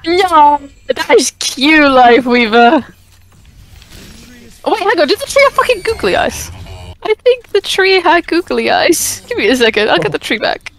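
A woman's voice: sits high, sing-song, normal speed. A woman chatting casually with friends while playing an online game. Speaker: gamer in a group voice chat. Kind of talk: casual gaming chat with friends.

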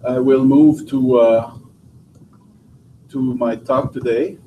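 A middle-aged man from Quebec with a French accent, giving a halting academic seminar talk full of restarts and fillers. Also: mid-range voice, steady tone, phone-quality sound, a little hiss or hum. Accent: Québécois French. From Quebec, Canada. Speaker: guest lecturer, professor. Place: lecture room. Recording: distant, roomy lecture hall audio.

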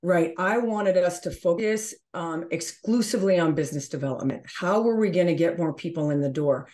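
A woman's voice: lowish voice, normal speed, darkish tone. A middle-aged woman giving explanatory answers as an interview guest on a video call. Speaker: interview guest, gym owner.

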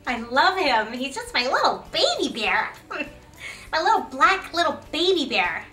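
A woman's voice: high voice, big pitch swings, unhurried, sharp tone, far from the mic, soft background music, exaggerated voice. A woman playing a ditzy, gushing celebrity wife who talks in giggly baby talk, in a comedy sketch. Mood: giddy. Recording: echoey room.

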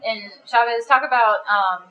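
A woman's voice: on the high side, moderate pace, bright, forceful tone, lo-fi sound, slight background noise. A woman reporter asking players questions at a press conference in a casual, conversational way.

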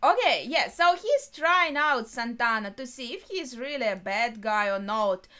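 A young woman speaks in a somewhat high voice at a slow pace. She comments casually, as a video host reacting, and pieces her thoughts together haltingly as she goes. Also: lively intonation, pushed and bright. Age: young adult. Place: small bedroom, indoors.

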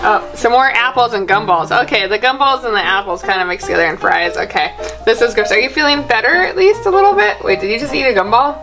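A woman's voice: fairly high pitch, animated, fast, rough recording. A woman in her thirties chatting playfully in an improvised kids' skit, exclaiming in mock disbelief. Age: 30s. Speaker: kids' channel host acting as a doctor in a skit. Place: small indoor room. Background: music.

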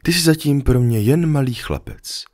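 A man reading a storybook, voicing a lonely, patient female fox in a soft, earnest, coaxing way. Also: mid-range voice, animated, no room sound, studio quality, voice pushed up, close to an everyday voice. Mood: earnest, wistful.

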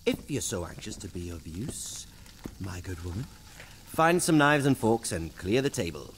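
An actor playing a vain, flippant young wizard in a radio drama, haughty and theatrical. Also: male voice, low voice, very animated, normal speed, slight background noise. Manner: haughty, flamboyant, evasive.

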